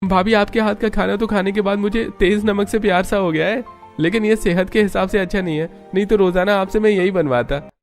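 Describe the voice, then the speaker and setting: A man voicing a cheeky, flattering young brother-in-law in a cartoon story, playful and teasing. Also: high voice, a little fast, soft background music, pitched above the performer's own voice. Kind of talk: cartoon story character acting.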